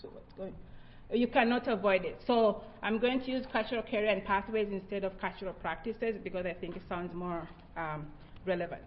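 Middle-aged woman from Tanzania, Haya and Swahili speaker, reflective research talk, mid pitch. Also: fairly even intonation, a little fast, highs cut off. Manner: earnest, reflective, with frequent restarts. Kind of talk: presentation talk.

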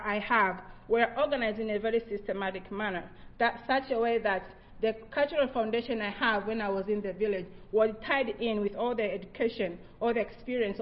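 A woman's voice: mid-range voice, muffled recording. A middle-aged woman from Tanzania, whose first languages are Haya and Swahili, giving an earnest, reflective research talk with frequent restarts. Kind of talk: presentation talk.

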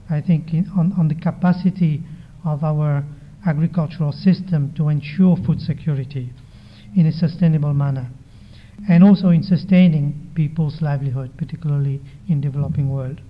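A soft-spoken man giving a formal conference presentation into a microphone, measured and pausing often with hesitations. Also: a little high. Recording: dull lo-fi recording.

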